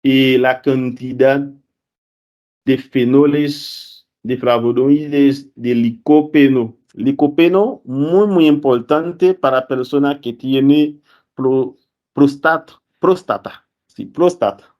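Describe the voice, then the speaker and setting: A man speaking Spanish as a second language, giving a student conference talk in a halting way, correcting himself often. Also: mid-range voice, muffled recording. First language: not Spanish. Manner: halting, self-correcting, with fillers.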